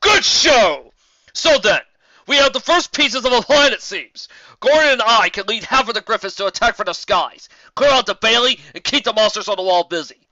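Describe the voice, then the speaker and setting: Booming, high-pitched jolly griffin commander. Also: male voice, lively intonation, normal speed, sharp tone, no echo, highs cut off, heavily acted. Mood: jolly, rallying. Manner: hearty, exclamatory, posh officer phrasing.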